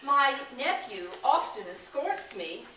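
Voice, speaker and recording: A woman's voice: high voice, big pitch swings, slow pace, sharp tone, a little hiss or hum, heavily acted. A woman playing an older spinster sleuth who is sweet, fussy and prying, recorded far from the mic in a very echoey room. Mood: genteel. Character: gentle, nosy old spinster sleuth.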